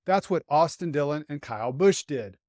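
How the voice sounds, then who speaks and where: A man with a fairly high voice delivers scripted motorsports commentary. He is opinionated and a little wry as host, and the recording is very dry with no room sound. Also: animated, moderate pace, darkish tone, no room sound.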